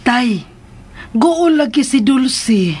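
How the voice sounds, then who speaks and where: A woman's voice: high-pitched, lively intonation, very slow, over loud music, exaggerated voice. An actress with a Cebuano accent playing a simple, playful, stubborn mother with a childlike manner in a radio drama. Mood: giggly, playful. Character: simple, giggly, stubborn mother of a grown daughter.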